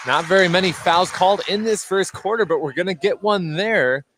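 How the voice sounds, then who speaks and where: A man's voice: high voice, normal speed. A man doing live radio play-by-play of a basketball game, describing the action in short, clipped phrases. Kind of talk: live radio sports commentary. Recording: noisy gym, clear background noise. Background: gymnasium ambience, bouncing basketballs.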